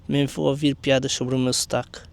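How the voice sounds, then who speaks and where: A man's voice: medium pitch, little pitch movement, brisk, gravelly. A man from Portugal with a European Portuguese accent, delivering an earnest opinion monologue full of rhetorical questions. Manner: earnest, argumentative. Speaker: commentary video creator.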